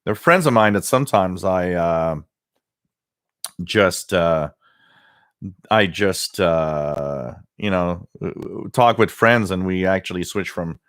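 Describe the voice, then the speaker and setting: Male host, low voice, dry close sound, loose rambling live chat with plenty of fillers. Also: lively intonation, takes it slowly, a bit bright, smooth, no echo. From Quebec. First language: French. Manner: rambling, hesitant with many fillers. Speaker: radio livestream host. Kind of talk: casual live chat reading viewer comments.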